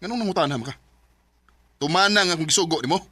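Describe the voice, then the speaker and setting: A man's voice: sits high, quick pace, crowd noise, close to an everyday voice. An actor with a Filipino Bisaya accent playing a cold, dismissive young man in a curt radio drama style. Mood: bossy. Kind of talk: emotional radio drama acting.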